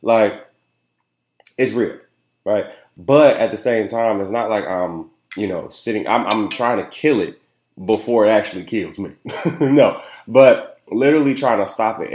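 Young male podcast host, stuffy and nasal, lowish voice, loose rambling chat in an echoey room. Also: normal speed, darkish tone. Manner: rambling and chatty, keeps asking "you know what I'm saying". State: nasal and congested. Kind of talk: casual solo podcast chat. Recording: roomy, echoey room sound.

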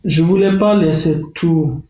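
A middle-aged man with a Kurdish accent, a former journalist, speaks in an interview with halting pauses and many restarts. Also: on the high side, mellow, roomy sound, low-quality recording. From Iraqi Kurdistan. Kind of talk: testimony interview.

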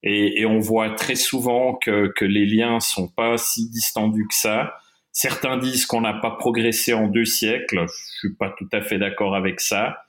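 Swiss guest, middle-aged man, low voice, hesitant with fillers. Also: flat delivery, unhurried, fairly bright tone. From Switzerland. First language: German. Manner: hesitant, many fillers and restarts. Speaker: watch industry guest. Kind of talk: interview conversation.

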